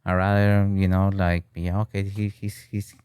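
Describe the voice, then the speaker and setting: A man's voice: deep voice, moderate pace, clean tone, no room sound, clean studio sound. A man in his thirties from Peru, a guest chatting casually in an interview and filling his talk with "you know" and "right?". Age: 30s. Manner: relaxed and talkative, many "you know" and "right?", slips into Spanish. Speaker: guest, bartending business owner. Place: podcast studio with flags on the wall.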